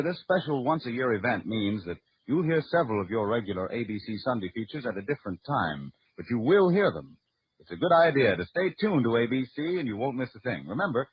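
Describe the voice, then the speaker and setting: A man with an American accent reads as a 1940s radio announcer and narrator. His voice is husky and medium-pitched, with big expressive swings. The recording sounds dull and dated. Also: dry recording. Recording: muffled old radio recording.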